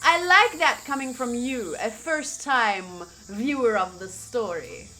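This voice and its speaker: A woman streamer with a bright voice and very lively intonation reads game text and comments on it. She reacts playfully and takes her time, with some music playing behind. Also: a little high, slow pace. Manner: reacts playfully, acts out lines between reading. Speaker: streamer playing a visual novel. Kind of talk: playthrough commentary and reading text aloud.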